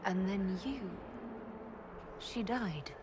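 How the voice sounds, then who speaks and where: A woman's voice: medium pitch, very slow, noisy background. A woman playing a determined, questioning young heroine in a fantasy game cutscene. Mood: shaken realization. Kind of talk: video game cutscene acting. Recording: loud game music behind voice.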